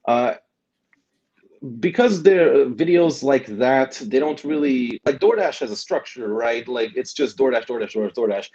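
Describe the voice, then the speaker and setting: A man's voice: mid-range voice, lively intonation, unhurried, a little mellow, highs cut off. A young man talking casually as a guest, rambling with many restarts and tags like "right?". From Germany. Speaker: guest, delivery driver and vlogger. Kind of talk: casual interview chat.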